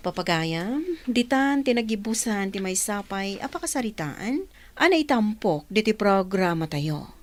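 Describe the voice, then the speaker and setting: Slow, expressive woman radio announcer. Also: mid-range voice. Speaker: radio drama narrator and announcer. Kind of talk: scripted narration, reflective radio drama outro with credits.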